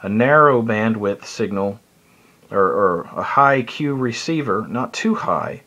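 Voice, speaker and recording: A man with a lowish voice presents a hobby explainer without a script. He rambles in a folksy, casual way and is happy to poke fun at himself. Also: animated, a little slow, sharp tone.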